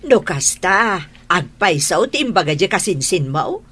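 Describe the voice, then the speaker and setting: A woman's voice: on the low side, very animated, measured pace, a bit bright, breathy edge, heavily acted. A woman playing a blunt older matriarch in a radio drama. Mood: scolding. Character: scolding, blunt older aunt or mother.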